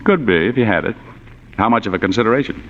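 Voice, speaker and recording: A man's voice: fairly low pitch, animated, fast, fairly bright tone. An actor playing a tough, wisecracking male private detective in 1940s radio noir, with sardonic, clipped delivery. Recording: muffled vintage radio recording with music.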